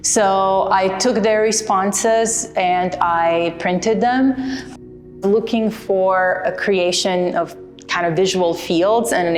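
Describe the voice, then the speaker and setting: A woman from Croatia, an artist speaking thoughtfully and earnestly about her printmaking in a documentary interview. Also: lowish voice. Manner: thoughtful, earnest, explains with care.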